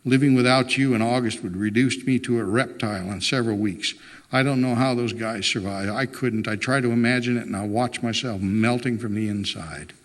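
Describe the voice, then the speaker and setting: A man's voice: medium pitch, fairly even intonation, brisk, natural voice. Guy reading a reluctant husband from a novel, plain talking, wry, stubborn, echoing hall. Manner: plainspoken, resigned.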